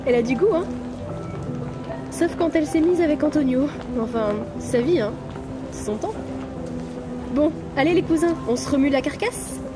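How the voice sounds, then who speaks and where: An actress plays a breezy woman from an underground circle in an audio drama. Her voice is high and she talks with playful, teasing familiarity. Loud music runs underneath. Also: lively intonation, moderate pace, long pauses. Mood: cheeky, playful. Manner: familiar, cheeky, playful chatter. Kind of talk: French audio drama acting.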